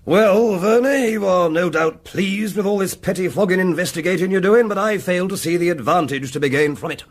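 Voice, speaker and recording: An actor playing a pompous, sceptical aristocrat with an upper-class English accent and a clipped, disdainful manner in a period radio drama. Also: male voice, high-pitched, a little fast. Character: haughty, sceptical aristocratic lord of a country estate.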